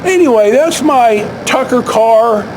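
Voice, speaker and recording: A man tells stories casually, in a halting, rambling way with asides and self-corrections. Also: very high-pitched. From San Francisco Bay Area, United States. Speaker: vlog host telling personal stories. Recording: clear steady background noise.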